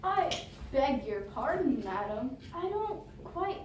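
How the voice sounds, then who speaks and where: Woman acting high-voiced, formal telephone operator. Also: very animated, takes it slowly, full character voice. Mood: polite, formal. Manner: formal, clipped. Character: polite, formulaic female telephone operator. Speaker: amateur drama club actor. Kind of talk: radio play acting. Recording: echoey, distant, noisy amateur recording.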